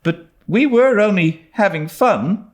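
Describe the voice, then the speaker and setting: A man's voice: high voice, lively intonation, takes it slowly, voice pushed up. A man voicing an earnest, dramatic young orphan girl with a pleading, resolute manner in an audiobook. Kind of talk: dramatised audiobook reading of a classic novel.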